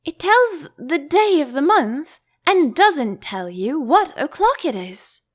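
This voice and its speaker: A woman voicing a prim, curious young girl in a storybook, quick to object and easily indignant. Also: sits high, big pitch swings, pitched above the performer's own voice, exaggerated voice. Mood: puzzled, polite.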